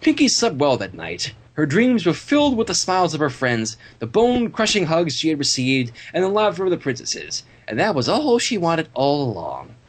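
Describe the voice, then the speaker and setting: A reader narrating fan fiction aloud in an expressive storytelling style. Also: mid-range voice, normal speed, hardly any pauses.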